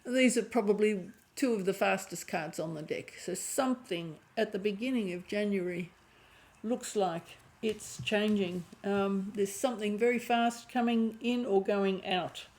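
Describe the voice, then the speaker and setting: A woman with a croaky voice and a lingering cough giving an intuitive tarot reading, thinking aloud and often restarting her phrases. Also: medium pitch, unhurried, a little mellow. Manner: musing aloud, restarting phrases, clearing throat. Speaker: tarot reader.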